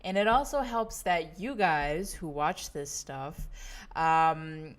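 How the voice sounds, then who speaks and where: Young American woman host, relaxed and chatty, slow easygoing delivery full of "um"s, low-ish voice. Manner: rambling, many fillers and laughs, relaxed storytelling. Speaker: livestream travel vlogger host. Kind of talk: casual chatty livestream monologue.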